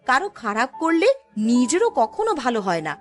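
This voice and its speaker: A woman voicing a gentle, respectful, earnest young village woman in a cartoon, polite and pleading. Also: high-pitched, sing-song, fairly quick, soft background music. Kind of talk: Bengali cartoon voice acting.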